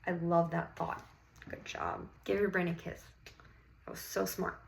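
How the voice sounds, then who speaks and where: An encouraging woman teacher reads a storybook to a young class. Her voice sits a little low with a noticeable creak, and she takes her time, drawing out key words and pausing to put questions to the children. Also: level tone, slight background noise, poor audio. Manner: encouraging, praising pupils. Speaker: teacher reading a picture book aloud to young children. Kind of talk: interactive classroom read-aloud with questions and praise.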